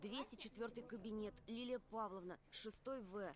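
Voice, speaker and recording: A shy new schoolboy, voiced by a woman, answers in a small, hesitant, stammering voice pitched high. A classroom crowd is clearly heard behind him. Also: a little fast, close to an everyday voice. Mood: timid. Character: timid new schoolboy, nervous and quiet.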